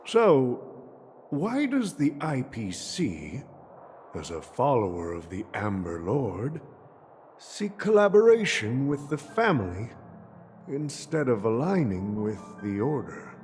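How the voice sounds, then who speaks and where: Game role: imperious, sly patriarch, unhurried and theatrical, animated intonation, pointed pauses. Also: male voice, mid-range voice, soft background music. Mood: grave, probing. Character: sly, imperious male family patriarch and merchant. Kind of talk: dramatic video game voice acting.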